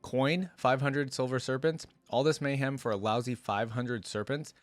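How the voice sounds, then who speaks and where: A man's voice: medium pitch, fairly even intonation, moderate pace, no echo, natural voice. A man voicing a sneering, desperate male pirate crewman, bitter and defiant, in a dramatic story reading. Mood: incredulous.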